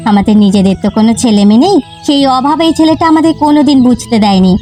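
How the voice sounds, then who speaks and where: Cartoon casting: emotional village wife and mother female performer, rapid, high-pitched, urgent. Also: animated, dark tone, faint music behind, lightly acted. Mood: fond reminiscence. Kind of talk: cartoon dub acting.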